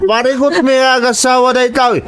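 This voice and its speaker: Man playing a high-pitched, furious bullying husband. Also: flat delivery, a little fast. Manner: aggressive, shouting, insulting. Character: angry, bullying young husband demanding money from his wife. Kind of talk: dramatized audio drama acting.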